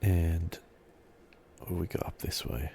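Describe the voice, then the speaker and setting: A man with an extremely deep, mellow voice full of fry plays a game for an ASMR audience. He murmurs his commentary in an unhurried, understated way. There is some background noise behind him. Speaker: gaming streamer.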